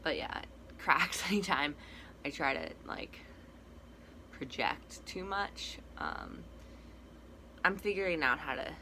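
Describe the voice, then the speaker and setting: A young man with a cracky, breaking voice, giving a casual personal update in a halting, soft-spoken way. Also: a little high, huge pitch swings. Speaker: vlogger. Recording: noticeable background noise.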